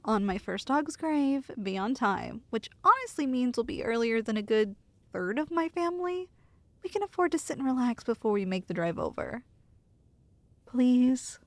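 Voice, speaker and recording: Woman acting as tender, teasing girlfriend, slightly creaky voice. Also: a little high, dry recording. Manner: tender, playful, teasing, reassuring. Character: affectionate teasing girlfriend soothing her nervous partner. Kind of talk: ASMR roleplay, intimate acted monologue.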